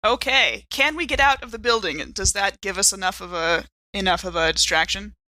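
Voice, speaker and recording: A woman playing a tabletop game, chatting casually and jokingly in an expressive, wry manner. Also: mid-range voice, brisk, dry recording, poor audio.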